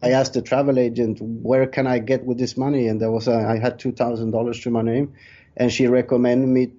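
Mellow Swedish-accented man, muffled audio. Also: medium pitch, little pitch movement, fairly quick. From Sweden. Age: 30s. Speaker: interview guest, real estate developer. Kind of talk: conversational interview answers. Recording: dull, lo-fi call audio.

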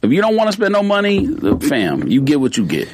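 A middle-aged man co-hosting a podcast in a casual chat, animated, joking and quick to protest. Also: on the high side, noisy background. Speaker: podcast co-host.

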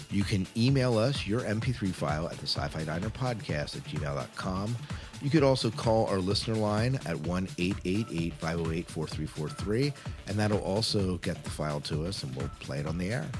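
Very deep-voiced male podcast host over music. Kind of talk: casual chatty podcast hosting. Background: loud music bed.

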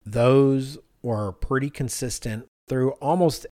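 A man's voice: mid-range voice, slow pace, mellow, no echo, clean studio sound. A man hosting a conversational podcast, talking informally and thinking aloud as he goes. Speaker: podcast host.

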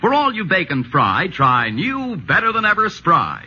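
Piercing, high-voiced 1940s radio pitchman. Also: wildly swooping pitch, a little slow, sharp tone, husky. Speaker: commercial pitchman. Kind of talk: 1940s radio advertising read. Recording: dull 1940s radio broadcast.

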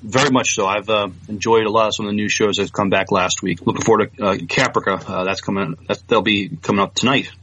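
A man's voice: on the low side, fairly even intonation, quick pace, few pauses, fairly bright tone, breathy edge, lo-fi sound. A man co-hosting a podcast in casual chat, giving brief, agreeable replies. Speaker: podcast co-host.

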